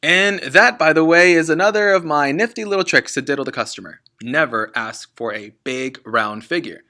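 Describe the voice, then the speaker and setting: A man's voice: fairly high pitch, moderate pace, bright, forceful tone, voice pushed up. A man voicing a boastful, crooked father in a storybook, smug and emphatic as he bullies and blusters.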